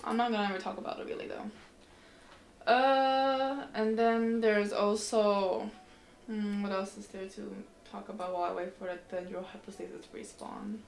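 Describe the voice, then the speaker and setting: A woman's voice: medium pitch, steady tone, moderate pace, vocal fry, echoey room, slight background noise. A woman livestreamer chatting casually while playing a game, rambling in a slangy, informal way. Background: faint game music.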